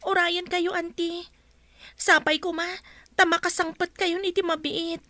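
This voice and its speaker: A woman's voice: extremely high pitch, level tone, a little slow, bright, forceful tone, no echo, heavily acted. A woman playing an anxious young woman in a Filipino audio drama, with an Ilocano accent. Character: young woman held captive, pleading, calls an older woman auntie.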